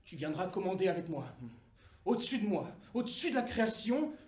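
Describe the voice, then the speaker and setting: Wild boastful devil, loud cackling glee, big swoops; a man plays him. Echoey room. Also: high-pitched, big pitch swings, brisk. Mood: gleeful. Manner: cackling, shouting, gleeful.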